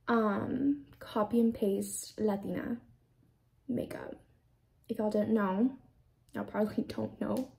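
A woman's voice: fairly high pitch, gravelly, mellow. Chatty young woman rambling with "like". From United States. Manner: chatty, rambling, heavy use of "like". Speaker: beauty vlogger. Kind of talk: casual get-ready-with-me chat. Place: small indoor room with a plain backdrop.

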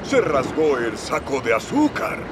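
Slow, swooping, teasing cartoon crane. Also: male voice, mid-range voice, wildly swooping pitch, very noisy. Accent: Latin American. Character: teasing male dockside crane. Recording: loud music behind dialogue.

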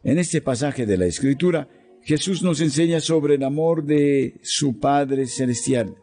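Solemn man reading devotions over soft music. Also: a little high. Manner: solemn, measured reading with pauses. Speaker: devotional reader. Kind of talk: slow scripted devotional narration.

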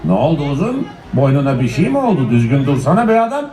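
A man's voice: medium pitch, very animated, fast, dark tone, natural voice. A man playing a grumpy, reluctant comic sidekick in a children's stage musical, grumbling in short questioning exclamations. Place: children's show stage in a shopping mall. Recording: roomy stage sound, far, music behind.

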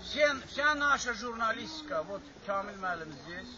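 A man's voice: high voice, lively intonation, normal speed, sharp tone. An older man from Azerbaijan giving a rambling, repetitive speech at an alumni reunion in an assembly hall. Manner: rambling, repeats himself, stops to restart. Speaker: alumni speaker. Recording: dull lo-fi recording with chatter from the audience.

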